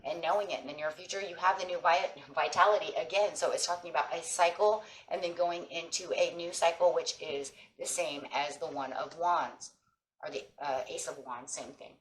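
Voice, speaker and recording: A woman with a hoarse voice from a sinus infection, giving a casual tarot reading and speaking encouragingly to viewers as friends. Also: deep voice, steady tone, normal speed, roomy sound, poor audio. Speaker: tarot reader. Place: table with tarot cloth, indoors.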